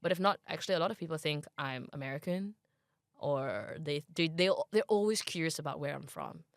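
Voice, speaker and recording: A young woman with a Malaysian accent speaks in a casual interview. Her voice has a gravelly edge, and she is recorded dry and close. She rambles in a chatty way, full of fillers and restarts as she thinks aloud. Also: sits low, expressive, fairly quick, dry recording. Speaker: interview guest.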